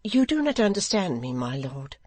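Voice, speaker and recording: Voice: medium pitch, sing-song, normal speed, dry recording, pitched above the performer's own voice. A reader voicing a poor, anxious widow, an earnest and protective mother who pleads. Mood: pleading, fearful. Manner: earnest, imploring supplication.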